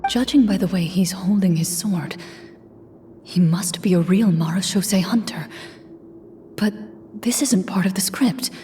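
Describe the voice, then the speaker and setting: A woman voicing a calm, serious young woman hunter who speaks in hushed, measured tones. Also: on the low side, a little hiss or hum, natural voice.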